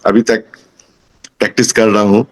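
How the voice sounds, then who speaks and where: Low-voiced Bengali-accented man in his sixties. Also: level tone, normal speed. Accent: Bengali-accented Hindi. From Kolkata, India. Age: 60s. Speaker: singing student giving a testimonial. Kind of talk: informal personal testimonial.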